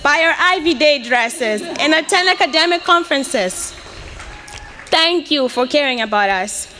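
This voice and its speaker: A woman's voice: high voice, a bit bright, a little hiss or hum. A young woman in her twenties from Mozambique, whose first language is Portuguese, giving an earnest prepared speech to an audience. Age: 20s. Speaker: student speaker.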